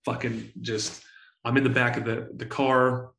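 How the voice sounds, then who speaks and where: A man in his forties telling stories in a casual interview, full of slang and swearing, excitable and often repeating himself. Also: medium pitch, unhurried, reverberant. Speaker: entrepreneur guest.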